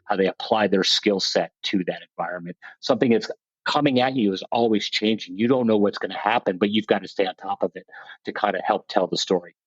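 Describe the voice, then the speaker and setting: A plainspoken man talks in a rambling, self-deprecating way, often restarting his sentences. His voice sits low, and the recording sounds dull and dry, with little room around it. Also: fairly quick, slightly rough, dry recording. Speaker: guest, veterans motorsport program leader. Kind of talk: conversational interview.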